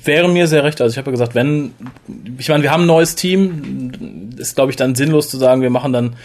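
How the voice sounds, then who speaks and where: A man's voice: medium pitch, fairly quick, darkish tone. A man hosting a casual fan podcast, chatting freely and giving his opinions. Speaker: podcast host.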